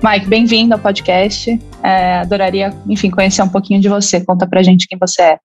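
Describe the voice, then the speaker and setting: A woman co-hosting a podcast, introducing the guest in a steady way. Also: mid-range voice, brisk, dull audio, faint music behind. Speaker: podcast co-host.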